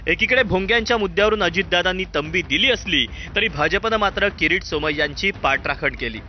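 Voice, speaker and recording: A man's voice: high-pitched, a little fast, slight background noise. A man reads scripted news narration in a dramatic style. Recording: slightly dull sound over music. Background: background music.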